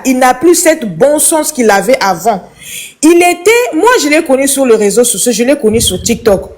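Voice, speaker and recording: A woman's voice: sits high, a little mellow. A woman from Congo, calling into a live chat and giving an impassioned, preachy opinion that repeats its points.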